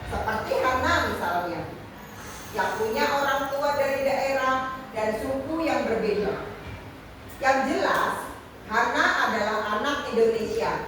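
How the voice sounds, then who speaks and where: A woman voicing a kind female class teacher who gently encourages a shy new pupil. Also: high-pitched, measured pace. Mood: explaining. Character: friendly adult female class teacher, welcoming. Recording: echoey hall, distant mic, noisy.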